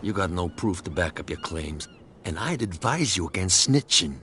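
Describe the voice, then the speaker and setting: An actor playing a surly male bootlegger thug in a video game, mocking and often hushed. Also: low voice, sing-song, normal speed, a little hiss or hum, full character voice. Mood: sneering.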